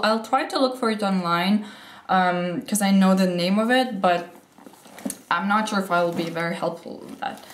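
A woman's voice: medium pitch, echoey room, slight background noise. A young woman in her twenties, a little throaty from a cold, chatting eagerly about what she carries in her handbag. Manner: chatty, gushing, a little breathless. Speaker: beauty and fashion vlogger. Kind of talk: casual what's-in-my-bag chat. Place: sunny room at home, indoors. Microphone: camera facing her, sitting close.

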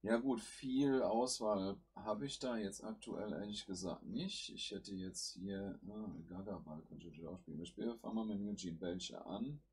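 Deep husky man with a cold. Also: normal speed, few pauses, a little mellow. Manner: thinks aloud while playing, with fillers. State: has a cold. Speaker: gaming channel host. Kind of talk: casual gameplay commentary.

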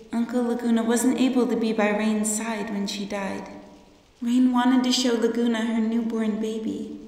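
A woman playing a sad, gentle young mystic in a video game fan dub, apologetic in manner. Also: a little high. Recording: very echoey, long reverb, distant.

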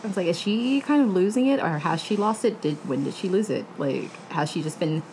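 A woman's voice: fairly low pitch, expressive, fairly quick, a little mellow, a little hiss or hum, rough recording. A woman in her thirties chatting casually on a book panel, slangy and acting out quotes as she retells. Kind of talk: casual chat. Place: living room, indoors.

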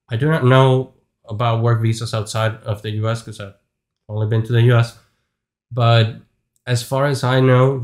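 Guy in his 30s talking to his webcam at home, earnest, keeps hammering his point, average pitch. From Venezuela. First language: Spanish. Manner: earnest, frank, repeats points for emphasis. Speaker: YouTube host, game artist. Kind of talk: casual advice talk to camera. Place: small home room, indoors.

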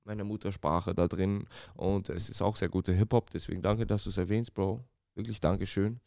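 A thirty-year-old man, a rapper, who talks in a laid-back, slangy way and keeps calling people bro and man. He sits in a small studio room and speaks into a boom-arm podcast mic. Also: fairly even intonation, a little fast, vocal fry, warm tone, no room sound. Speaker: rapper guest. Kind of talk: casual podcast interview. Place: small studio room with posters, seated at table.

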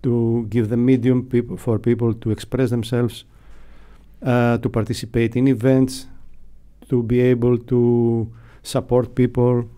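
Mellow middle-aged man. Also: medium pitch, little pitch movement, measured pace, smooth. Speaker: radio sound technician, interviewee. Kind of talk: unscripted explanatory interview answers. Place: acoustically padded radio studio. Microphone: close broadcast mic.

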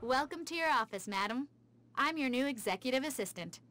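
A woman's voice: high voice, expressive, fairly quick, a bit bright, slight background noise. A woman playing a polished, efficient executive assistant in a video game cutscene, composed and formal. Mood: courteous, composed. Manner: composed, formal, scripted welcome.

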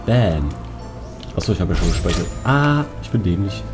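A man with a fairly low voice plays a video game and comments casually as he goes. He talks to himself in blunt, crude bursts and interjections, over clearly audible game music. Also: sing-song, normal speed. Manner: muttering to himself, crude swearing, exclamations. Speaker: let's player.

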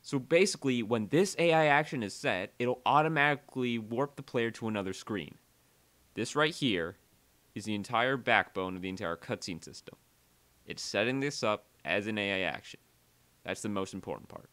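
A man presenting a casual software tutorial in a conversational voice-over, with uneven energy and weight on key words. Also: fairly low pitch, normal speed.

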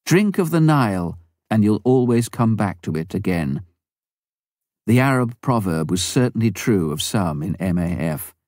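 Deep, dark-voiced man reading a memoir. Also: no echo, studio quality. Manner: measured, even first-person reading. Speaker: audiobook reader. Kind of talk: scripted memoir narration.

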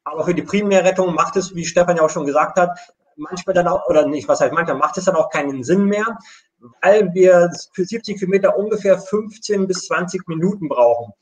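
Middle aged guy explaining stuff step by step on a video call, voice a bit high. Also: fairly quick. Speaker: air rescue station manager and pilot. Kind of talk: explanatory live video talk. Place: room at home.

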